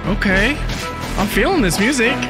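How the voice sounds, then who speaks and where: A man in his thirties giving casual, excited reaction commentary as a video host, close on the mic. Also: high voice, normal speed. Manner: excited, wowed. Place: small indoor room. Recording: loud trailer music underneath.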